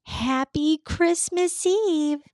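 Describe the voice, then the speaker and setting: A woman's voice: high-pitched, extremely slow, darkish tone, no echo, clean studio sound, pitched above the performer's own voice. A woman voicing a small, eager and wistful young female sleigh in an earnest storybook manner. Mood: hopeful. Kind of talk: storybook character voice for children.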